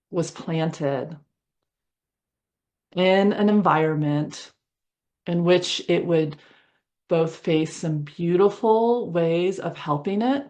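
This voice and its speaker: A woman leading a guided meditation in a soothing, conversational way, speaking in short phrases with pauses between them. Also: lowish voice, a little mellow. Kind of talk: guided meditation, gentle improvised visualization.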